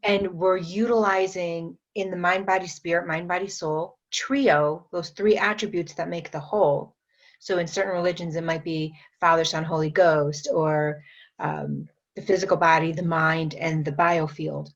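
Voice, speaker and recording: Lower-voiced woman, muffled lo-fi sound. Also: fairly even intonation. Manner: unhurried, explanatory, many fillers and restarts. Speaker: guest, metaphysician and energy trainer. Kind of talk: podcast interview.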